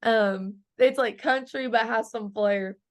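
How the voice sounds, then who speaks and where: Early 20s woman singer chatting away, lots of likes and giggles, fairly high voice. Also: takes it slowly. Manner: bubbly, laughs often, lots of "like" fillers. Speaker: country singer guest. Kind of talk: casual interview chat.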